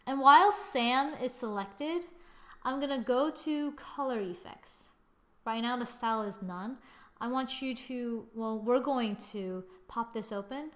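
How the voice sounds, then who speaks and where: A woman narrating a software tutorial casually, thinking aloud as she goes in an informal way. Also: fairly high pitch, unhurried. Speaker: tutorial presenter.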